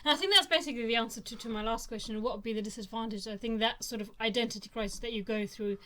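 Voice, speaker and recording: Woman, podcast co-host, from Germany, casual chat, joking asides, fairly high pitch. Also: a bit bright, a little hiss or hum. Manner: chatty, quick asides and jokes. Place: living in the UK.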